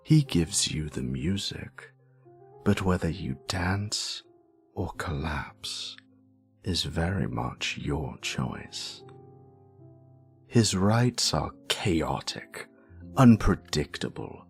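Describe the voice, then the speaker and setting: A man playing a grumpy, sassy wizard professor with a gravelly British voice, delivering a scripted lore monologue in a wry, theatrical way with dramatic pauses. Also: deep voice, heavily acted. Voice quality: gravelly, creaky.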